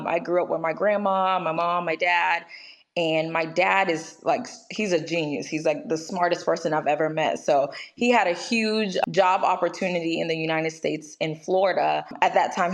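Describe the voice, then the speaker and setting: Chatty young woman nurse, low voice. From Ghana. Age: 20s. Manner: chatty, many "like" and "you know" fillers. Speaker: nurse, guest. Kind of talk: casual interview conversation.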